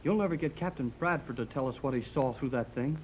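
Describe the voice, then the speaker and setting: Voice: mid-range voice, quick pace, natural voice. An actor playing an eager, loyal teenage boy adventurer in a 1930s radio drama, with slangy enthusiasm. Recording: muffled, noisy 1930s radio recording.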